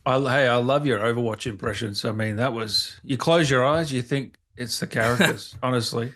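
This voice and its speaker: A man with a mid-pitched voice acts as interviewer. He speaks in a casual, conversational style as the host of the chat. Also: moderate pace, fairly bright tone.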